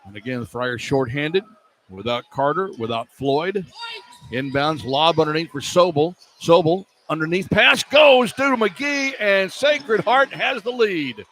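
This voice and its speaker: Higher-pitched male basketball play-by-play announcer, noisy arena. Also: unhurried. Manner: clipped running description, excited on shots. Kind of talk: live radio basketball commentary. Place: indoor sports arena. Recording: live arena broadcast with background noise.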